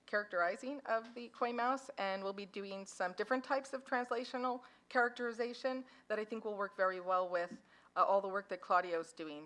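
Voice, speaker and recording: A woman's voice: medium pitch, fairly bright tone. Woman, parent and foundation founder, informal conference talk, chatty and self-deprecating, on a podium mic. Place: conference hall. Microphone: handheld or podium microphone.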